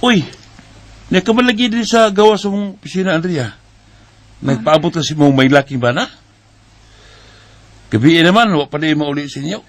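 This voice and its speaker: A man's voice: fairly high pitch, lively intonation, normal speed, long pauses, slight background noise, close to an everyday voice. A man with a Cebuano Bisaya accent playing a smug, teasing, flirtatious workmate in a radio drama. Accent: Cebuano Bisaya Filipino.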